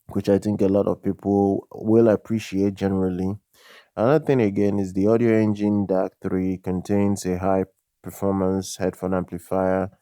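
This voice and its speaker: Male tech reviewer, flat delivery, dry close sound, casual and halting, repeats himself. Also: sits low, no room sound. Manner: halting, repeats words and phrases. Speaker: tech review channel host. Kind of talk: casual product news commentary.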